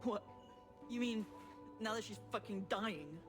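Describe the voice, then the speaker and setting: A woman's voice: medium pitch, unhurried, over music, lightly acted. A woman playing a vulnerable but blunt younger sister in a naturalistic video game drama, speaking candidly. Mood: hurt, bitter.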